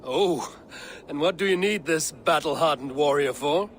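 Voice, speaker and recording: Guy acting as a battle-hardened viking raider for a game, wry and measured, some background noise. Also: a little high, close to an everyday voice. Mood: amused, curious. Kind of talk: video game cutscene acting.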